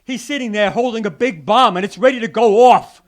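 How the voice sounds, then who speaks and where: Man acting a high-pitched, exasperated police sergeant. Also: a little fast, natural voice. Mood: urgent, flustered. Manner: exasperated, slangy tough-cop talk. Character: agitated, dim, gruff-talking male police sergeant. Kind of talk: old-time radio detective drama acting.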